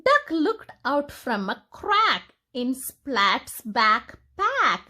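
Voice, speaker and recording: Woman doing kids read-aloud, bright high voice, sing-song and expressive. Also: drawn-out words. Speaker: children's story reader. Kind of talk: animated read-aloud of a picture book for young children.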